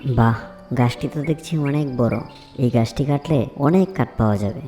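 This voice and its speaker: A man's voice: medium pitch, moderate pace, dark tone, faint music behind, natural voice. A man playing plain-spoken, wary woodcutters in a children's fairy tale cartoon. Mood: practical.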